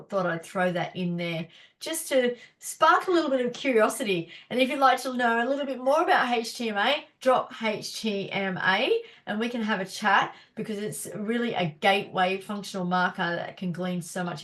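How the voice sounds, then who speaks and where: A woman's voice: mid-range voice, moderate pace, a bit bright. A woman, a naturopath hosting a casual live teaching session, chatty and encouraging, her nose stuffy and blocked up. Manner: chatty, encouraging, many fillers.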